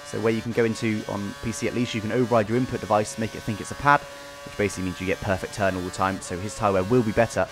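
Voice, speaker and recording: Male racing-game commentator, rapid-fire and slightly low-voiced, casual and explanatory, music behind. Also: fairly even intonation. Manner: explanatory, recapping. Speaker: video creator commentating on his own racing game race.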